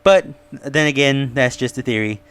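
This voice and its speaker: Clear-voiced man giving a casual review. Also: mid-range voice, moderate pace, a bit bright, faint music behind. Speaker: online video reviewer and host. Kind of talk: casual review and theory commentary.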